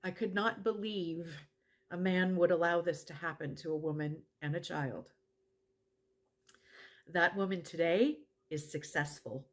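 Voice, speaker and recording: A middle-aged actress playing an earnest woman who helps abuse victims, speaking deliberately in a testimonial monologue with emphatic pauses. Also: on the low side. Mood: earnest, moved. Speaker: actor in a dramatized narrative. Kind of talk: dramatized personal testimonial monologue to camera. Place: living room at home.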